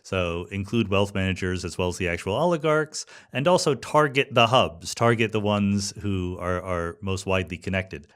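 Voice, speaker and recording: A man's voice: low voice, moderate pace, hardly any pauses, fairly bright tone, clear, resonant tone. An American man hosting a podcast, asking questions in a thoughtful, informal, conversational way. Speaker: podcast host. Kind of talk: conversational interviewing.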